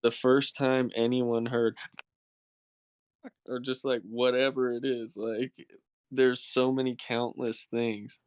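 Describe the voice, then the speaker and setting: A man's voice: mid-range voice, level tone, takes it slowly, smooth, no room sound. A man hosting a podcast in casual, slangy chat, speaking in an earnest, reflective way. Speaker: podcast host.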